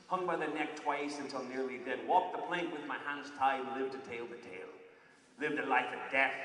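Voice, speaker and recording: A man's voice: mid-range voice, a bit bright, reverberant, long reverb tail, far from the mic, low-quality recording. A man performing a stage comedy monologue as a boastful former pirate turned motivational speaker, with a put-on pirate accent, hammy growls and pirate slang. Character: boastful male pirate turned self-help guru. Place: large event hall with audience.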